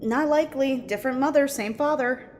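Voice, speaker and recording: A woman's voice: brisk, darkish tone, close to an everyday voice. A woman playing a dry, skeptical forensic investigator in an audio drama, with reverb and faint noise. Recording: long reverb, faint low rumbling noise.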